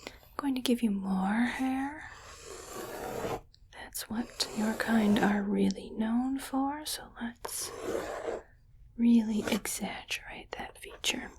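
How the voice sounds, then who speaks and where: A woman playing a scheming, patronizing rich alien in an ASMR roleplay, speaking in a breathy, coaxing whisper. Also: a little high, noisy background. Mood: musing, scheming. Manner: slow, patronizing, softly coaxing. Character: condescending, scheming rich female alien keeping a human pet.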